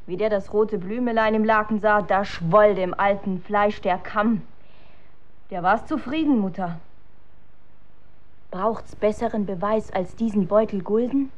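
Period radio drama: woman playing crafty, dutiful young courtesan, mid-pitched, slightly muffled recording. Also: measured pace, natural voice. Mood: sly, reassuring. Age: young adult. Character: cunning, dutiful young courtesan daughter in olden times. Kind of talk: German radio drama acting.

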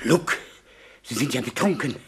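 An actor playing a pompous, outraged school headmaster in a German radio play. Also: male voice, mid-range voice, expressive, normal speed, background noise, natural voice. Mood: stern, dismayed. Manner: pompous, indignant.

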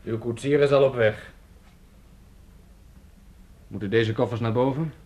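A man's voice: medium pitch, steady tone, a little slow, a little mellow, close to an everyday voice. A man acting a brooding innkeeper in a radio drama, speaking in terse, understated lines. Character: terse, brooding male innkeeper serving a guest. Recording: old radio play, clearly hissy, clicks.

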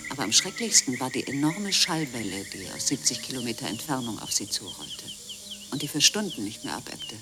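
A man's voice: mid-range voice, very animated, fairly quick, background noise, lightly acted. A man playing a grave marine biologist and reporter, reading scripted narration in a radio documentary drama. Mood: sober.

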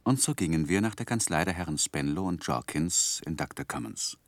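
Earnest, very low-voiced young gentleman. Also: expressive, dry recording. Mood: reflective, narrating. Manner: measured, literary, earnest. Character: earnest, polite young gentleman narrating his own life. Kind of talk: 1960s German radio drama, literary narration.

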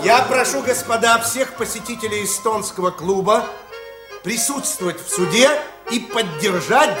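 An actor playing a male club orator rallying a crowd with loud, declamatory appeals in a radio drama. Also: extremely high pitch. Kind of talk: public speech in a radio drama. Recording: echoey hall, crowd and music behind.

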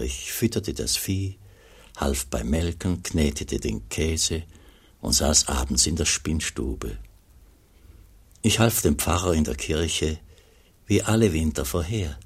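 A male actor playing an aging pious monk in a radio drama, recounting his poor mountain boyhood in measured, solemn narration with a husky voice. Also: rumbling low, full character voice. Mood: reflective.

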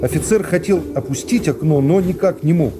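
A middle-aged man reading classic prose as an expressive dramatic narration for a radio serial. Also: on the high side, a little fast, noisy background. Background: music.

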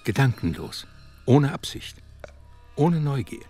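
An actor playing a grave literary narrator in a radio drama, telling a dark thriller with deliberate, weighty phrasing. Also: male voice, medium pitch, expressive, music in the background. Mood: grave, uneasy. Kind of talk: scripted narration.

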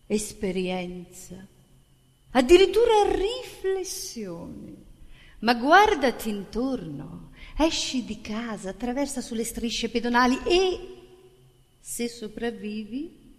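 A mocking, condescending stage cynic, acted by a woman, high and animated. The sarcasm is exaggerated and theatrical, ringing in a reverberant hall. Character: mocking, condescending cynic needling an idealistic performer. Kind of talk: theatrical monologue in dialogue.

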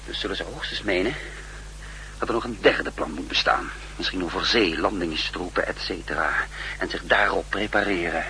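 An actor playing a shrewd, weary spymaster on the run in a 1960s radio drama, speaking deliberately and broodingly. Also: male voice, deep voice, gravelly, pushed and bright, exaggerated voice, rough recording. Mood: grim, brooding. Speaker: lead actor and first-person narrator. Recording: humming, hissy 1960s radio recording.

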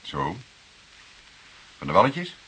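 An actor playing a shrewd older police detective in a Dutch radio drama, questioning deliberately with an insinuating irony. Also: male voice, sits low, huge pitch swings, sharp tone, exaggerated voice, rough recording. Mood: probing. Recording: hissy radio play recording.

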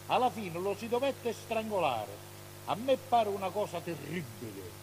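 An actor playing a curious palace soldier gossiping about a prisoner, questioning and repetitive, in stylised radio drama. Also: male voice, high-pitched, sing-song, moderate pace. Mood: grimly gossiping. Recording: very noisy radio drama recording.

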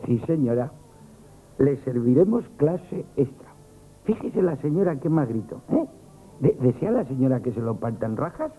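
An actor in a Spanish radio comedy playing a nervous, lovestruck young grocery lad, gushing and stammering with an accent from Spain. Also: male voice, mid-range voice, very animated, moderate pace, dark tone, lightly acted, low-quality recording. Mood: eager patter. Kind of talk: 1970s radio comic theatre acting. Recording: band-limited vintage radio broadcast.

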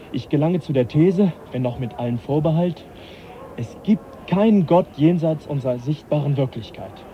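Measured, high-voiced gentleman naturalist. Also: lo-fi sound, slight background noise. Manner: measured, reasoning, dryly ironic. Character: polite, earnest, quietly provocative young naturalist. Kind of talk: radio drama acting.

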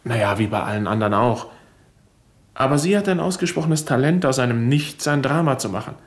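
An actor playing a cocky young bank clerk who speaks in a sardonic, insolent way in a 1970s radio drama. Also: male voice, medium pitch, animated, fairly quick, lightly acted. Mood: guarded. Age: young adult.

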